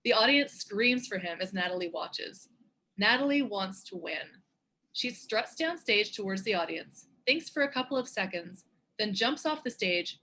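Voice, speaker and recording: A woman narrates scripted action for a table read. Her medium-pitched voice is bright and forceful, with a husky edge. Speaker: narrator and show co-creator. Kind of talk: scripted stage-direction narration.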